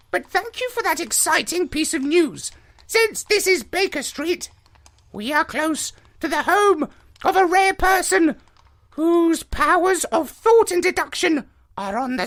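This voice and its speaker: A man's voice: very high voice, bright, forceful tone, voice pushed up, full character voice. A man voicing a vain, pompous talking male parrot in a storybook reading, speaking with lofty condescension. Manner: lofty, condescending, drawn-out declarations.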